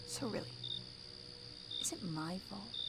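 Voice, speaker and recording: A woman's voice: mid-range voice, animated, measured pace, heavy background noise, close to an everyday voice. A woman playing a defiant, guarded outlaw soldier in an audio drama, blunt and sardonic in delivery.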